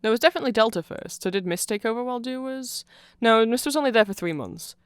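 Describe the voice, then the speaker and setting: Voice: medium pitch, lively intonation, fast, clear, resonant tone, dry recording, studio quality. A person of unclear sex giving a rambling ASMR explanation of fan lore, often getting flustered, trailing off and correcting themselves with amused enthusiasm.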